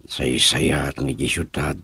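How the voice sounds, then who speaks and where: Brief: gravelly schemer voiced by a man, very low, raspy, radio drama. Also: little pitch movement, takes it slowly, sharp tone, no echo, exaggerated voice. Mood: scheming. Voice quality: very deep gravelly voice.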